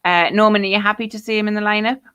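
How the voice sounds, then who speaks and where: A woman's voice: mid-range voice, steady tone, very fast, pushed and bright, clear, resonant tone. Posh Geordie woman hosting a podcast. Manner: chatty, hesitant, many fillers. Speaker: podcast host. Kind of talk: casual football chat, reading viewer comments.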